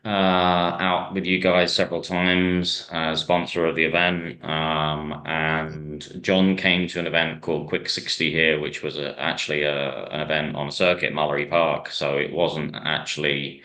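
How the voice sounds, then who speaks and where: A man from Ireland, a racing driver being interviewed, telling stories in a rambling way with many pauses and restarts. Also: very deep voice, flat delivery, bright, forceful tone. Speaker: hill climb driver, guest. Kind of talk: casual interview chat.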